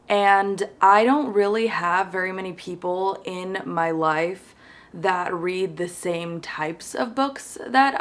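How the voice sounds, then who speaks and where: A young woman chatting casually to camera about books, bubbly and rambling with lots of fillers. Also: medium pitch. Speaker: book vlogger.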